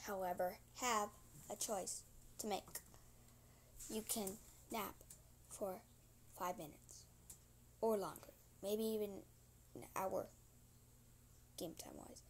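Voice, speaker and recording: A woman voicing a stern, fussy robot nap attendant from a horror game, speaking in a deliberate, warning manner. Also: medium pitch, warm tone. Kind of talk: hobby character voice lines. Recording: noisy homemade recording.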